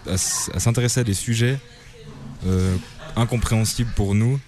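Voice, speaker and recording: Male musician, lowish voice, relaxed explanatory interview answers. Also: moderate pace. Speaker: rock band member.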